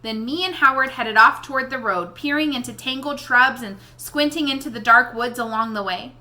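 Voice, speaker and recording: Woman, high pitch, bright tone, expressive storybook narration, read-aloud for young listeners. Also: moderate pace.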